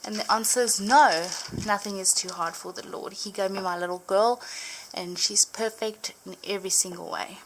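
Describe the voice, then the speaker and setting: A young woman whose first language is Afrikaans, chatting casually as she flips through her journaled Bible, full of little fillers and gentle enthusiasm. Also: mid-range voice, moderate pace, a little hiss or hum, rough recording. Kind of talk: casual show-and-tell narration. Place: indoors at a table, hands over an open book.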